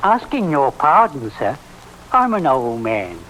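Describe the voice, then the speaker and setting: A man acting a blind, deferential old villager with halting speech in a radio drama. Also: fairly high pitch, huge pitch swings, a bit bright, exaggerated voice. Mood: weary, earnest. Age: older. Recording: faintly noisy old radio recording.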